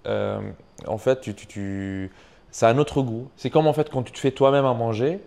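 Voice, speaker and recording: A man's voice: mid-range voice, normal speed, creaky, darkish tone. A young man with a foreign accent, speaking French as a second language, chatting in an interview with many fillers and restarts. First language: not French. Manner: hesitant, many fillers and restarts, says "tu vois" often. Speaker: YouTuber and online business coach. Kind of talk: casual interview conversation.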